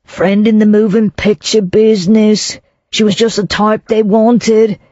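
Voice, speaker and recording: A reader voicing a smarmy Cockney salesman in his thirties, nervous and wheedling, pushing the pitch up. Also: level tone, measured pace, mellow, no echo, lightly acted. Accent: Cockney overlaid with refinement. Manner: wheedling, panicky, raised pitch. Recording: dull lo-fi, dry.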